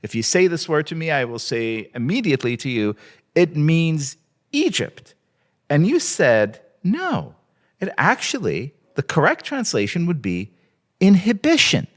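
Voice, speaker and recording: A man's voice: on the high side, wildly swooping pitch, unhurried, fairly bright tone. A man from Israel, a native Hebrew speaker, interviewing authors at a book launch.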